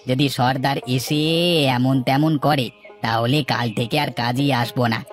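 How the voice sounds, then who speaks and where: A man's voice: medium pitch, fairly even intonation, normal speed, lightly acted. A man voicing a defiant younger labourer in a cartoon, cheeky and argumentative. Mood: defiant, grumbling. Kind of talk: cartoon dialogue acting. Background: background music.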